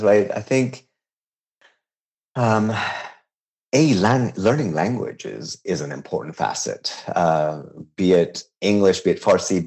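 Low-voiced guy in his 50s from iran, chatting thoughtfully, stops and restarts a lot. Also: a little mellow. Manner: reflective, halting, with frequent restarts and light laughs. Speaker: chef, interview guest. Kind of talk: casual conversational interview.

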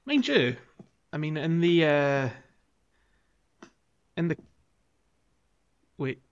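A man's voice: on the high side, big pitch swings, drawn-out words, smooth. Man co-hosting a podcast, dull lo-fi sound. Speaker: podcast co-host. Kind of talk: casual chat about a video game.

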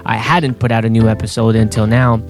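A man hosting a faith podcast, talking earnestly and a little haltingly with fillers and restarts. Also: fairly low pitch, level tone. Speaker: podcast host. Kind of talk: casual podcast intro. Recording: clear guitar music underneath.

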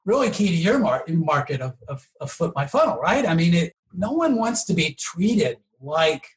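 A man explaining things conversationally as an interview guest, emphatic and often checking in with a quick "okay?" or "right?". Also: a little high, very animated. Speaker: podcast guest, marketing professional.

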